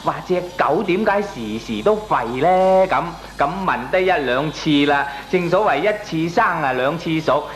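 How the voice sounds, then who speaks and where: An actor playing a shameless, glib dog owner in a radio comedy drama, arguing back with a Hong Kong Cantonese accent. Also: male voice, fairly high pitch, expressive, a little slow, few pauses. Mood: glib, jovial. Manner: glib, chuckling, argues back with lame excuses. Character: shameless, jovial dog-owning male neighbour brushing off complaints.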